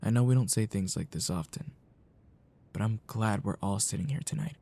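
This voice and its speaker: A man playing a caring, wistful childhood friend in an ASMR roleplay, talking softly with a halting, reflective delivery. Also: lowish voice, vocal fry. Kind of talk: ASMR roleplay, soft intimate talk.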